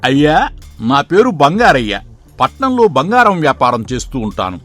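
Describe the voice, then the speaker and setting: A man voicing a sly, persuasive swindling gold merchant in a moral story, coaxing and smooth-talking. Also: mid-range voice, very animated, moderate pace, fairly bright tone, faint music behind. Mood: boastful, coaxing.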